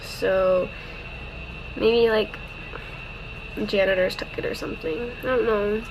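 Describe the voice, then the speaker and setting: A woman's voice: mid-range voice, steady tone, slow. A woman vlogging as an exchange student, chatting casually to her handheld camera, rambling and laughing often, with a stuffy, congested nose. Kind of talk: casual vlog chat to camera. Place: dorm room, then outdoors on campus. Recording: noisy background.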